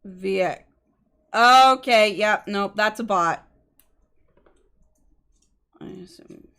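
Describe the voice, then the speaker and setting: A woman's voice: medium pitch, very slow, pushed and bright, clear, resonant tone. A woman livestreamer chatting casually with her co-host and viewers, explaining things politely. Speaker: game livestreamer. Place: indoor streaming setup at a computer.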